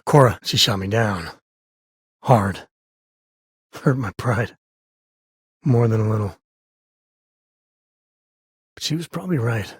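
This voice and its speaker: An actor playing a rugged, easygoing middle-aged space explorer and father in a video game, with a husky, folksy, wry, conversational delivery. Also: lowish voice, lively intonation, slow pace, long pauses, no room sound, studio quality, full character voice. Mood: sincere, subdued. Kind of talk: video game companion dialogue.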